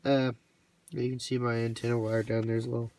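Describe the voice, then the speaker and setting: A man's voice: medium pitch, flat delivery, quick pace, darkish tone. A man giving a casual, hands-on walkthrough in a plainspoken, folksy way with colloquial grammar. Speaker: hobbyist presenter demonstrating his radio gear. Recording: distant mic, light background noise, clicks.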